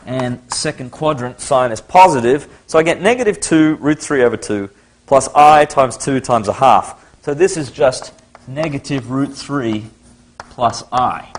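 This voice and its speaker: A man's voice: mid-range voice, measured pace, slight background noise. A middle-aged man with an Australian accent, giving a live maths lecture in a chatty way, thinking aloud at the board.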